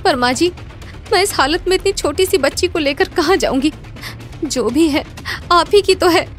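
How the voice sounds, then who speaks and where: A woman voicing a gentle young daughter-in-law with an earnest, pleading manner, in a moral story. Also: very high-pitched, moderate pace, faint music behind, voice pushed up.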